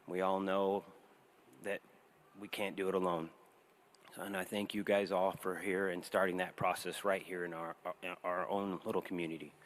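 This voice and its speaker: A man in his forties speaking on stage at a memorial service, halting and emotional, with pauses and restarts. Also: sits low, a little hiss or hum. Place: large indoor hall stage. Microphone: stand mic.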